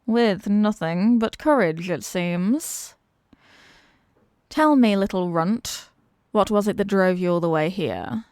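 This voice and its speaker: A woman playing a menacing, mocking demon villainess in a fantasy audio roleplay, theatrical and taunting, with deliberate dramatic pauses. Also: mid-range voice, animated, darkish tone, clear, resonant tone, dry recording, studio quality. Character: possessing demon villainess, menacing, mocking, tormented by borrowed love.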